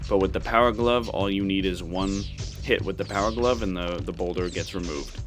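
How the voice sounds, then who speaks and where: Low-voiced man commentating a game playthrough. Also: brisk, over music. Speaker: gaming video host.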